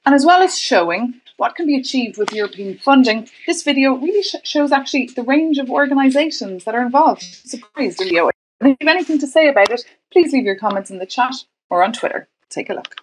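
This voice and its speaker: A woman's voice: sits high, lively intonation, fairly quick. Upbeat woman hosting an event. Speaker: event presenter. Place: office. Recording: noisy with clicks.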